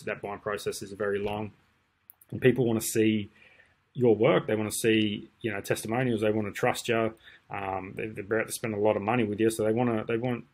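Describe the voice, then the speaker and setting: A man, talking through a screen-share tutorial casually, with lots of ums and restarts. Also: fairly low pitch. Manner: relaxed, rambling, many ums and restarts. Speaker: marketing tutor. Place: indoors at a desk.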